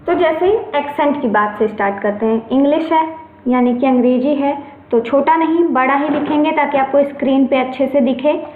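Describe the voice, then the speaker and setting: High-voiced woman teaching in an echoey room. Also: normal speed, a little hiss or hum. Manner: explains and repeats points, often checking in. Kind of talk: online exam-prep lesson. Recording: echoey, phone-like lo-fi recording.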